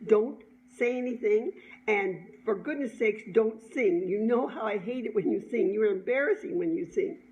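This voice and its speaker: A woman's voice: medium pitch, moderate pace, darkish tone, natural voice. A woman voicing a bossy, easily embarrassed teenage daughter in a story, insistent and pleading. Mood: insistent warning. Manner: insistent, pleading, repeats orders. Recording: dull lo-fi sound, background noise.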